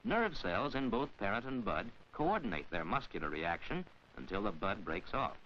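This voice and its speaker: A man delivering formal scripted narration in the measured, instructional manner of a mid-century educational science film, with careful enunciation. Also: fairly low pitch, sing-song. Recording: dull, hissy 1940s film soundtrack.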